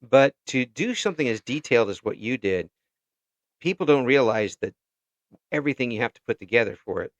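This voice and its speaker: A man chatting casually as an interviewer, halting and given to chuckling as he talks. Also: mid-range voice, expressive, no room sound, muffled recording.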